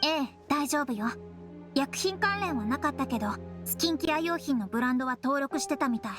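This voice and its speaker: Young female game officer, high voice, confident, dutiful, matter-of-fact, music in background. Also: animated, a little fast. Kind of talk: Japanese game voice acting.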